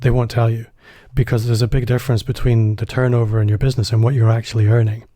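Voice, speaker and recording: Male podcast host, warm dark tone, close dry mic, casual rambling questions. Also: mid-range voice, flat delivery, quick pace, dry recording. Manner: chatty, self-deprecating, loose phrasing. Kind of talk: podcast interview.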